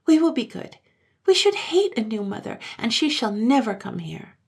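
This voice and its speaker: A woman voicing two tearful little sisters who plead in high, sobbing voices. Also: big pitch swings, moderate pace, gravelly, husky, exaggerated voice.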